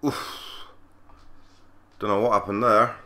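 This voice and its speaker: A man with a cold, husky and croaky, giving easygoing play-by-play commentary on a cricket video game. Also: lowish voice, level tone, slow, bright, forceful tone. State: hoarse from a cold. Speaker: gaming channel host.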